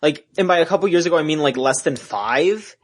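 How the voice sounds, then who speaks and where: A man's voice: on the high side, animated, fairly quick. A young college-age man co-hosting a podcast, telling stories in a rambling way with many restarts. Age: young adult. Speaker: podcast co-host. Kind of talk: casual chat.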